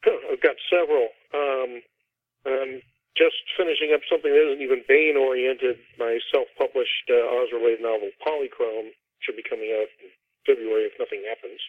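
A man's voice: mid-range voice, steady tone, fairly quick. A middle-aged man, an author being interviewed, explains things at length in a conversational way over a band-limited, phone-like line. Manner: explanatory, talks at length about his fiction.

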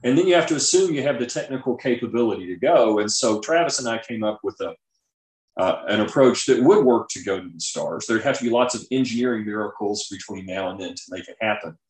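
A man's voice: fairly low pitch, lively intonation, fast talker, darkish tone. A man speaking as an expert guest in a conversational interview, explaining things and often checking in with "right?". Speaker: guest author and scientist. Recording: roomy, echoing sound.

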